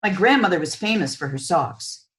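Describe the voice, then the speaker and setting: A woman narrates a children's book in a fairly low voice. Her reading is animated and measured, with lots of movement in pitch from phrase to phrase. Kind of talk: expressive storybook narration.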